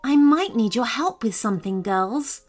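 A woman's voice: a little high, lively intonation, normal speed, a little mellow. A woman voicing a tall, commanding fairy in a children's story, over faint music.

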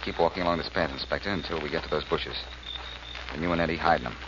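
An American man playing a quick-witted, commanding detective with a brisk, wisecracking manner in 1940s radio drama. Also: extremely low pitch. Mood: confident, explaining. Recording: muffled, noisy old radio recording.

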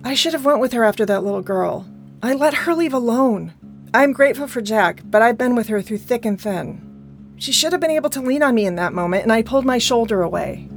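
A woman's voice: on the high side, expressive, fairly quick. A woman playing a guilt-ridden, grieving and protective surrogate mother in an audio drama, speaking in an emotional, troubled way. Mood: anguished. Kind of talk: naturalistic audio drama acting. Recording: guitar music under dialogue. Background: plucked guitar music.

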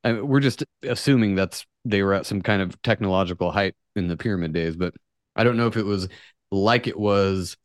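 A man's voice: sits low, brisk, no echo, studio quality. A man hosting a podcast, talking casually and musing speculatively, with some offhand swearing. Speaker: podcast host. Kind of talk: casual conversational podcast talk.

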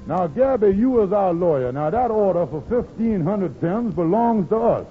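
Blustery scheming boss type, highish voice that swoops around, tinny hissy old radio comedy. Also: male voice, expressive, moderate pace, faint music behind. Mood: sly. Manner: wheedling, folksy dialect grammar. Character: scheming, blustery lodge-boss schemer, male, middle-aged. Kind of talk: 1940s-50s radio comedy acting.

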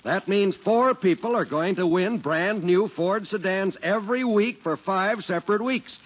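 A man's voice: sits high, a little slow. A man working as a 1940s radio announcer, delivering scripted advertising and narration. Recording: muffled 1940s radio recording. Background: music.

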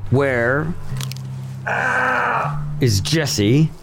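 A man's voice: medium pitch, drawn-out words, pitched above the performer's own voice. A man voicing a hard, weary bounty hunter who speaks in curt, menacing lines. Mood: cold menace. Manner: curt, menacing, few words. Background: music.

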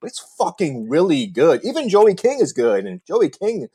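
A man's voice: on the high side, wildly swooping pitch, moderate pace, warm tone, breathy edge. A man co-hosting a casual podcast, rambling through movie recommendations with lots of swearing and restarts. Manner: rambling, profane, restarts often. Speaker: podcast co-host.

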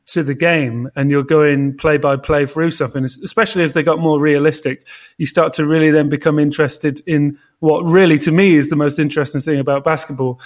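A man on a casual podcast, speaking in a measured, analytical way with frequent pauses and restarts. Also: a little high. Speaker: podcast co-host.

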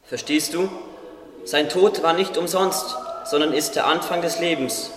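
A man's voice: on the high side, a little slow, noisy background, close to an everyday voice. A man playing a playful, loyal talking bird in a German radio play. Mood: earnest. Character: playful teasing bird, earnest loyal friend. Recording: distant, echoey hall.

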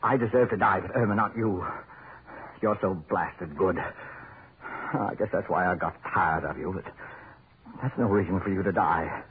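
An American actor playing a desperate, guilt-ridden husband trapped underground in 1940s radio horror, pleading in a halting, melodramatic way. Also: male voice, low voice. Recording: scratchy, narrow 1940s radio recording with hiss.

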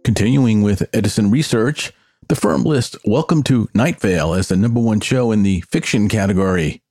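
A man's voice: lowish voice, normal speed, a little mellow, no echo, clean studio sound, faint music behind. An older man hosting a solo podcast, giving personal, opinionated commentary in a conversational way. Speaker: podcast host.

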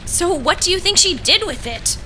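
A woman's voice: very high-pitched, animated, normal speed, bright, forceful tone, a little hiss or hum, full character voice. A woman playing an intense, needy, eccentric would-be writer in an audio drama, with an earnest, dramatic, insistent delivery. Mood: anxious, insistent.

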